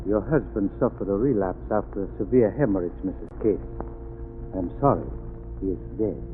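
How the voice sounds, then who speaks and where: An actor playing a calm, quietly menacing sanitarium official in a 1930s radio drama. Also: male voice, on the low side, normal speed, slight background noise, natural voice. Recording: tinny old radio broadcast.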